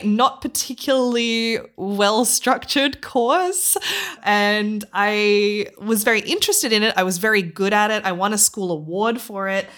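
An Australian woman in her thirties chats as a podcast guest. She tells her stories with plenty of laughter and animation, at a medium, everyday pitch. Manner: animated storyteller, laughs often, acts out voices. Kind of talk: casual interview chat.

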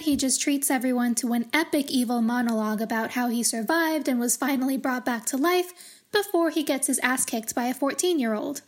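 A woman with a distinctly high-pitched voice hosts an analytical podcast solo. She explains her points in a structured way and slips in wry, casual asides. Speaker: podcast host. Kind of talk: literary analysis podcast monologue.

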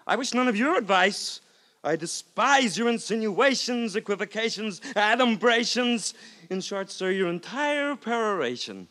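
An actor playing a cocky, scornful gambling rogue in a 1950s radio drama, bombastic and mocking in manner. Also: male voice, high voice, animated, measured pace, sharp tone, exaggerated voice. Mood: haughty mockery.

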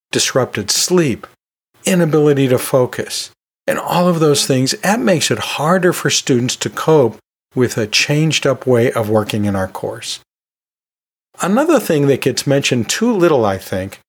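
A middle-aged or older man hosting an educational podcast, talking conversationally and emphatically, with pauses and rhetorical asides. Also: a little high. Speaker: podcast host and college instructor.

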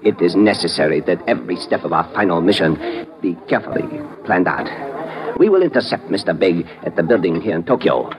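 An actor playing a polite, menacing Japanese fanatic in a 1950s radio drama, with a Japanese accent and formal, article-dropping phrasing. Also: male voice, low-pitched, expressive, fairly quick, a crowd in the background. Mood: courteous, calculating. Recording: noisy vintage 1950s radio recording.

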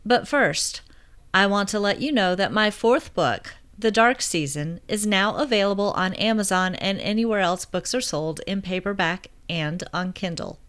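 A woman's voice: mid-range voice, normal speed, fairly bright tone, clear, resonant tone. A woman hosting a podcast, reading an upbeat intro and asking friendly interview questions. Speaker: podcast host.

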